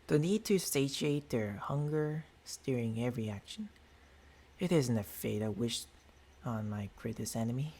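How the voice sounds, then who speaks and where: Husky, gentlemanly vampire boyfriend voiced by a man. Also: medium pitch, animated. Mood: grave, explaining. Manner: halting, formal, soothing endearments. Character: gentlemanly male vampire boyfriend, tender, apologetic, hungry. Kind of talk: intimate ASMR roleplay. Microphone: close binaural microphone.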